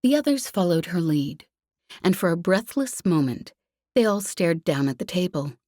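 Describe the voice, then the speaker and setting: Woman narrating a novel, deep voice for a lady, lots of pitch movement, very dry recording. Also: big pitch swings, normal speed, a little mellow, no echo. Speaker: audiobook narrator. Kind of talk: scripted fiction narration. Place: dry, close recording space.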